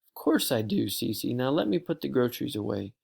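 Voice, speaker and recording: A reader voicing a kind, reassuring mother in an audiobook, speaking gently. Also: medium pitch, very animated, fairly quick, vocal fry, slightly rough, heavily acted. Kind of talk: audiobook character voice.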